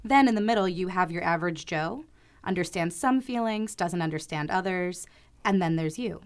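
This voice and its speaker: A woman playing a composed, clinical therapist in a radio play, measured and authoritative. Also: fairly low pitch, expressive. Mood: clinical, assured. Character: composed, clinical female therapist with hypnotic methods.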